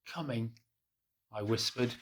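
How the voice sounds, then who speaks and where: A man gently reading a children's picture book aloud in the first person, in a soft, hushed and unhurried way. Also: medium pitch, a little hiss or hum. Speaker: storybook reader.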